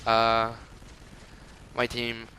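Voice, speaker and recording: Bright-voiced French Canadian gamer. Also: male voice, medium pitch, monotone, drawn-out words, slight background noise, poor audio. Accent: Quebec French. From Montreal, Canada. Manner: rambling and chatty, with frequent fillers and laughs. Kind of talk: gameplay commentary.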